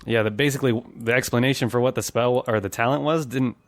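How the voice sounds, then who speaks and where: Male podcast host, medium pitch, chatty explainer, casual gaming talk, dry sound. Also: fairly even intonation, fast, dry recording. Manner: chatty, laughs easily while explaining.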